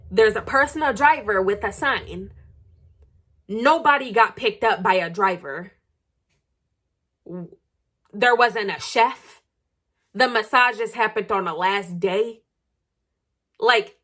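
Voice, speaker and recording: An American woman with a husky, slightly rough voice at an average pitch. She talks straight to her viewers in a heated, tea-spilling rant. Her delivery is blunt and confrontational, full of swearing, with phrases repeated for sarcastic punch. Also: a bit bright. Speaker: vlogger telling gossip.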